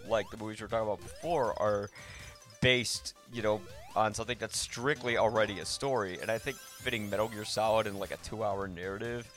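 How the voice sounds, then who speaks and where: A man hosting a podcast, casually discussing films in a rambling, halting way that often interrupts itself. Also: fairly low pitch, a bit bright. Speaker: podcast host.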